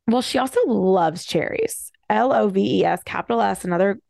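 A woman hosting a solo podcast, talking fast and casually with wry, animated asides. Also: mid-range voice, creaky, no echo, studio quality. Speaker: podcast host. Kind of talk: casual solo commentary, reading bios with asides.

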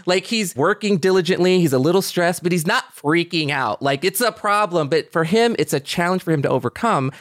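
A woman's voice: fairly low pitch, fairly quick, few pauses, dry recording. Woman, casual explanatory chat, loose conversational phrasing, podcast co-host, very dry sound.

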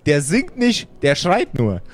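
A man's voice: on the high side, wildly swooping pitch, slow, exaggerated voice. A man voicing a boastful, chummy undead necromancer in a fantasy tabletop audio game, slangy, stammering and repeating himself. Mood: excited bragging. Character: boastful, chummy undead necromancer boss bragging about gadgets.

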